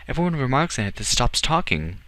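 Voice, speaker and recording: A man's voice: mid-range voice, sing-song, fairly quick, pushed and bright, no echo, pitched above the performer's own voice. A man voicing an anxious, earnest boy, hesitant yet defiant, in a storybook reading. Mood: hesitant, hurt. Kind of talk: audiobook character acting.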